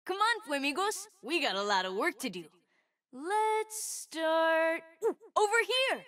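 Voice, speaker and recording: A woman playing an eager, sweet, boastful twelve-year-old boy fire mage in a video game, with an excitable, earnest, childlike manner. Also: very high voice, unhurried, far from the mic, heavily acted. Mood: hopeful, earnest. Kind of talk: video game character voice lines.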